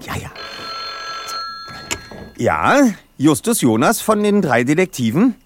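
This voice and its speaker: A man's voice: fairly high pitch, big pitch swings, slow pace, background noise. An actor playing a brainy, self-assured young detective who leads his team in an audio drama, with precise, formal, commanding speech. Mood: brisk, alert. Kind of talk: German audio drama acting.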